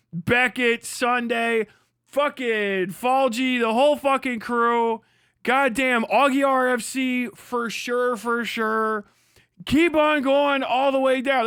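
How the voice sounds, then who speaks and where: A man's voice: very high-pitched, bright, forceful tone, clear, resonant tone, no echo, clean studio sound. A man hosting a livestream, delivering a profane, mocking commentary rant and laughing often. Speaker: livestream host.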